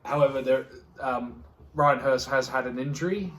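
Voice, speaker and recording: A man's voice: mid-range voice, moderate pace, a bit bright. Man, podcast host, casual chat, hesitant conversational delivery, echoey room, set back from the mic. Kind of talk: casual group discussion. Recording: roomy echo, distant from mic.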